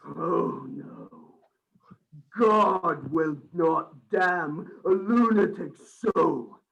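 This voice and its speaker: Creaky-voiced, high-pitched cringing madman servant. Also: very slow, fairly bright tone, highs cut off, exaggerated voice. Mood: cowed, evasive. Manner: stammering, pleading, theatrical. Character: cringing madman servant, fawning and terrified of his Master. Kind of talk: radio play acting.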